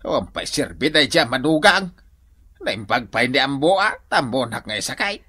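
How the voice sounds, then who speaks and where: A man plays an exasperated old father-in-law in an Ilocano radio drama. His voice is bright and forceful, leaping wildly in pitch as he grumbles with an Ilocano accent. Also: big pitch swings, normal speed, breathy edge, heavily acted.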